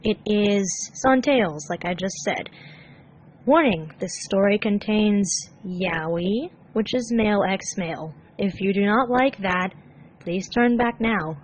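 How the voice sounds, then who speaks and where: A woman reading a scripted story aloud as narrator, measured and expressive. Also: mid-range voice, slight background noise, rough recording. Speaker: fan fiction narrator.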